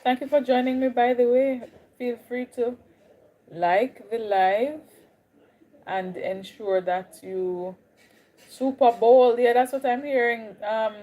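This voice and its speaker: A woman's voice: a little high, dark tone. A young woman with a Jamaican accent, chatting casually with viewers on a live stream. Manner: chatty, greets viewers, drifts between Caribbean accents. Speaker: livestream host.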